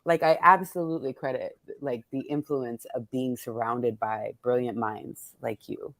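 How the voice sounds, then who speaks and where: A woman's voice: a bass voice, steady tone, unhurried, crowd noise. An American woman hosting a casual conversation among poets, talking in an effusive, slangy way with plenty of swearing. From born in Texas, United States. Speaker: host of a poets' table reading.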